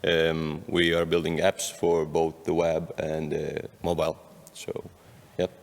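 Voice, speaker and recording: A man's voice: extremely low pitch, completely flat delivery, measured pace, creaky. A man from Romania, introducing himself hesitantly as a developer. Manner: hesitant, with many fillers. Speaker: panelist, front-end developer. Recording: long concert hall reverb.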